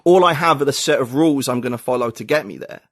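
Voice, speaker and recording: A man speaking as a podcast guest, musing and thinking out loud with plenty of fillers. His voice sits at an ordinary middle pitch. The recording is close and dry, with no room sound. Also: quick pace, dry recording. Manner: reflective, thinking aloud with many fillers. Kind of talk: casual interview conversation.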